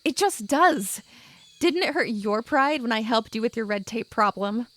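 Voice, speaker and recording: A woman's voice: on the high side, animated, normal speed, a bit bright. A woman playing a stubborn, proud young hiker in an audio drama. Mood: stubborn, angry. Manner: stubborn, indignant. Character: Maggie, proud defiant young woman on a trail hike.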